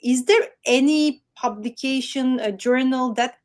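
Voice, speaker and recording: A woman's voice: high voice, unhurried, a little mellow. A woman moderating an online workshop, asking long, winding questions over a video call.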